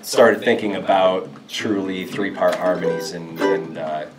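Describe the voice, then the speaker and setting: A man's voice: low-pitched, fairly even intonation, recorded from a distance, over music, low-quality recording. A young man introducing a song in a small classroom, talking casually with many ums and restarts. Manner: halting, full of ums and restarts. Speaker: band member introducing a song. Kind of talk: casual on-stage chat.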